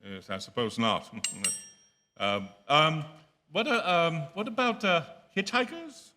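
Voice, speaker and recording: A man acting as an uneasy motorist in a radio drama, nervous and sometimes halting as he tells of being haunted. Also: a little high, animated, bright, forceful tone, a little hiss or hum, heavily acted. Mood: nervous, evasive. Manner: nervous, halting, stammering under strain. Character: uneasy male motorist haunted by a recurring hitchhiker. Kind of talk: radio drama first-person narration and acting. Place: stage of a theatre. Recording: echoey theatre hall with long reverb.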